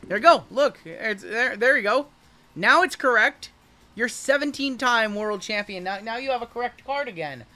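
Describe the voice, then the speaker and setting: Hyped high bright-voiced man hosting. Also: expressive, moderate pace. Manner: hyped, joking, calls out cards as they are pulled. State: voice going hoarse from shouting. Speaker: card break host. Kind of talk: livestream card break commentary.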